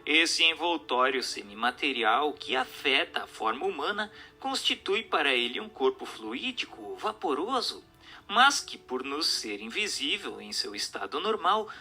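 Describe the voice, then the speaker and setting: A man's voice: fairly high pitch, bright, forceful tone. A Brazilian man reading a spiritual text aloud as an audiobook narrator, in a declamatory, didactic and emphatic manner. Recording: narration over music.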